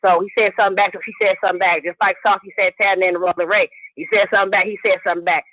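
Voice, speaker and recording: A high-pitched man calling in over a thin, tinny phone line with a dry sound. He talks in a rambling, animated way, piling up gossip and quick jabs about other people. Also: no room sound. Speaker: caller. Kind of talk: casual phone-in chat.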